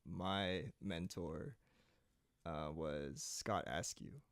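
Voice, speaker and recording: A young man from Saipan talks in a very low voice, easy and unhurried, full of "um" and "like". The sound is close and dry, with no room to it. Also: dry recording. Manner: relaxed, rambling storytelling with many fillers. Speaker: guest singer-songwriter. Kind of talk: casual interview chat.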